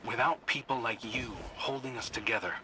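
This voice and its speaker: An actor playing a wry, encouraging human strategist in a video game, talking confidently and colloquially. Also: male voice, medium pitch, fairly even intonation, moderate pace, noisy background, lightly acted. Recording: clear music behind the voice.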